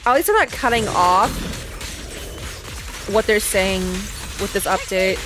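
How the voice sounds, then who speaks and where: A woman's voice: medium pitch, unhurried, over music. A woman streaming her casual reactions to a game as she plays, murmuring offhand asides to herself. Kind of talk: casual gameplay reaction commentary.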